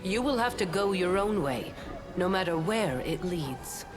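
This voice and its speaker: An actress playing a righteous, stern goddess in a video game, speaking in a grave, measured and formal manner. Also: female voice, lowish voice, expressive, over music. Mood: solemn, resolute. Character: solemn, righteous goddess of justice, stern and noble.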